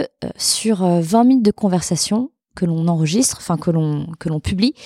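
A woman podcaster answering interview questions in a measured, thoughtful way, pausing often with fillers. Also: lowish voice, warm tone, dry recording, clean studio sound. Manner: measured, hesitant with frequent fillers.

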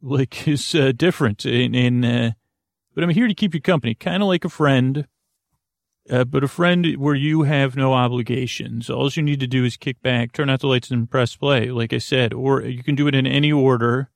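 Creaky, rambling man hosting a sleep podcast. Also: medium pitch, fairly even intonation, normal speed, no room sound, clean studio sound. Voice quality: creaky, dulcet. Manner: rambling, drifting off topic, many fillers and stumbles. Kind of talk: meandering bedtime podcast intro.

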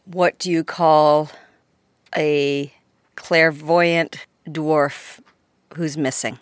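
A speaker with a medium-pitched voice. The talk is casual and unscripted. It comes haltingly, with a self-deprecating touch. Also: fairly even intonation, clear, resonant tone, no room sound.

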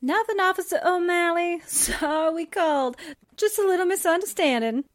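A woman's voice: extremely high pitch, moderate pace, no echo, clean studio sound. A woman playing a wry, weary big-city newspaperwoman in a 1940s radio drama, comically exasperated. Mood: placating.

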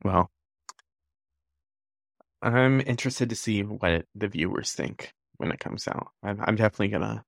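A woman's voice: rumbling low, moderate pace, vocal fry, pauses often, no echo, clean studio sound. A woman co-hosting a podcast, chatting casually with blunt, sarcastic quips. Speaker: podcast co-host. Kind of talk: casual chat.

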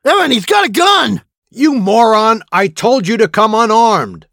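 A man's voice: sits high, very animated, unhurried, creaky, fairly bright tone, no echo, studio quality, full character voice. A man playing a big, menacing, impatient thug of a kidnapper in an audio drama, barking aggressively. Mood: taunting, heated.

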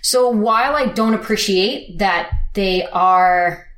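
A woman's voice: medium pitch, slow, a bit bright. A young woman telling a personal story in casual conversation, with lots of "like" fillers and frequent laughter. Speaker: interviewee. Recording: echoey room.

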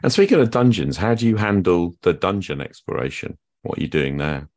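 Low-voiced man hosting, dry close sound. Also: sing-song, fairly quick, a little mellow, no room sound. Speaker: podcast host, interviewer. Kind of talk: casual interview, asking questions.

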